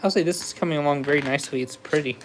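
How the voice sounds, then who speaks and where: A man's voice: on the high side, very fast, slight background noise, low-quality recording. A man chatting casually with viewers while building a Lego set on a livestream, easygoing and laughing often. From USA. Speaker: livestream host.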